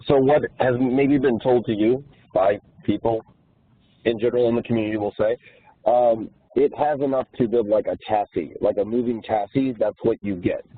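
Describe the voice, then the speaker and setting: A man's voice: medium pitch, breathy edge, dry recording. A man co-hosting a conference call, giving an informal, plain-spoken explanation over the telephone. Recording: muffled, narrow phone conference line.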